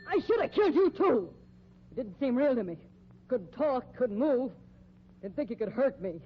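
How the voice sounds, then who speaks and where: An actress playing a desperate fugitive murderess in a 1950s radio mystery, melodramatic and halting. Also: female voice, sits high, sing-song. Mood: hysterical, furious. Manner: melodramatic, halting, overwrought confession. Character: desperate fugitive murderess posing as circus bearded lady. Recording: muffled, noisy old radio recording.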